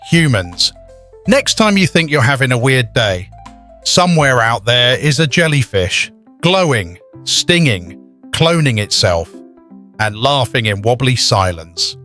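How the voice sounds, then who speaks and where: A man's voice: medium pitch, a bit bright. A man voicing a pompous talking marble statue, lecturing theatrically in a comic puppet show. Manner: pompous, theatrical, deliberate delivery. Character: pompous talking marble statue, self-proclaimed judging educator. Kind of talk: scripted comic educational narration for puppet show.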